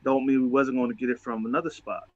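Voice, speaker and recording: A man's voice: medium pitch, level tone, quick pace, darkish tone, dry recording, dull audio. A man talking casually and motivationally as a show host, emphatic and testifying, repeating phrases for stress.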